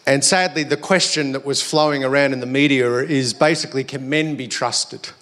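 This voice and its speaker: Slightly croaky Australian man preaching. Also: medium pitch, fairly even intonation, normal speed, fairly bright tone. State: slightly hoarse. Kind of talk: sermon to a congregation.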